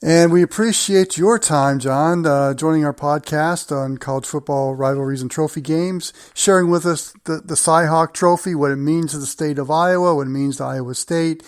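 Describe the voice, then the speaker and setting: Higher-voiced middle-aged male podcast host. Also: moderate pace, hardly any pauses, no echo, clean studio sound. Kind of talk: hosted sports interview and read segues.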